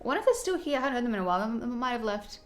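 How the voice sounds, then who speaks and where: A woman's voice: on the high side, very animated, very fast. A woman streaming casually while doing her makeup, reading viewer comments aloud and reacting to them in a chatty, friendly way.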